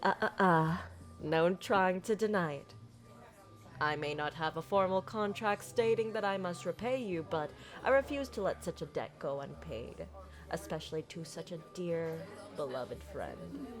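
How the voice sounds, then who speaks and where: Flirty, low-voiced pirate captain played by a woman. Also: close to an everyday voice. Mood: coy, insistent. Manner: theatrical, flowery, sincere phrasing with deliberate pauses. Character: flirty silver-tongued female pirate captain, tender and grateful. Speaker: audio roleplay performer. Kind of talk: ASMR roleplay monologue, romantic storytelling. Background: background music.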